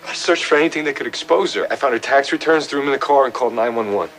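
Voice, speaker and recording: An actor playing an embittered American fiance in his twenties, halting and defensive under police questioning in an audio drama. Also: mid-range voice, a bit bright, soft background music, natural voice. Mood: bitter, anguished.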